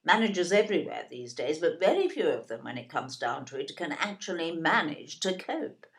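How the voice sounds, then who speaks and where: A woman's voice: low voice, sing-song, normal speed. An actress playing a snobbish older mother from southern England in an audio play, genteel in accent, gushing and condescending in manner. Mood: disdainful. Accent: southern English, genteel. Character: snobbish, gushing, genteel middle-class English mother-in-law type.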